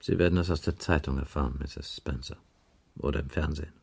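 An actor playing a stern detective inspector in a German radio crime drama, questioning in an insistent, clipped, probing manner. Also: male voice, rumbling low, steady tone, a little fast, vocal fry, a little mellow, breathy edge, heavily acted. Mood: grave, insistent.

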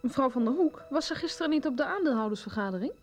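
A courteous female secretary in a radio soap, with a slightly high voice. She is discreet and businesslike, choosing words carefully, with faint music behind her. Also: expressive, quick pace. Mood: polite, guarded. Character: polite, discreet executive secretary on the phone.

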